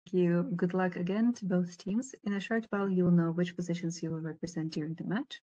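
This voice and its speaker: A woman speaking as the host of a debate tournament, making formal announcements over a video call with a husky voice. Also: on the low side, steady tone, fairly quick, mellow, dull audio.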